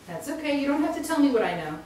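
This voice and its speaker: A woman's voice: sits high, very fast, pitched above the performer's own voice. Woman as a composed, knowing medium, distant and echoey. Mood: calm, assured. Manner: calm, assured, matter-of-fact. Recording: echoey room, distant mic, faint noise.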